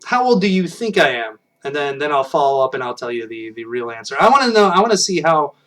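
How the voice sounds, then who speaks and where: A man in his late thirties chats with his viewers from home, his voice sitting a little higher than most men's. He rambles and restarts his sentences, poking fun at himself as he goes. Now and then he drifts away from the webcam mic, so his voice sounds distant. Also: big pitch swings, fast, a bit bright. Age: 30s. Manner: rambling and self-deprecating, many restarts. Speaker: live stream host. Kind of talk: casual chat with viewers.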